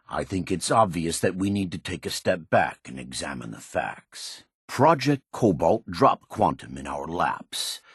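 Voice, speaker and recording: A shrewd, domineering middle-aged tycoon, with a low and heavily rasping voice. He drives hard bargains in measured, businesslike game dialogue, his intonation moving widely with self-assured authority. The sound is dry and close. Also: no echo, exaggerated voice. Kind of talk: video game voice acting, recorded log.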